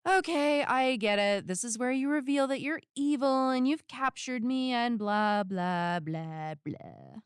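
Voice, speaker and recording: A woman's voice: a little high, measured pace, few pauses, clean tone, dry recording, clean studio sound, natural voice. An actress playing a fed-up, brusque and sarcastic female sidekick in an audio drama. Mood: irritated, sarcastic. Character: blunt, sarcastic, fed-up cyborg-armed sidekick woman. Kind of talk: scripted audio drama acting.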